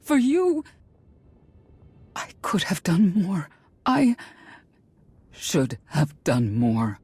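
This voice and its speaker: An actress playing a sorrowful middle-aged mother in a video game, earnest and halting, with a husky voice. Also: lowish voice, wildly swooping pitch, darkish tone, no room sound, exaggerated voice. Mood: remorseful pleading. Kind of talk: video game character acting.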